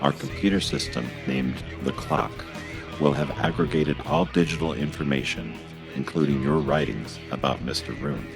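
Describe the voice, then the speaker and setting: A man voicing a calm, formal emissary from the future in a radio drama, speaking in a measured, deliberate way. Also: rumbling low, vocal fry, over music. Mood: calm, assuring. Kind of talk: scripted monologue in amateur radio drama.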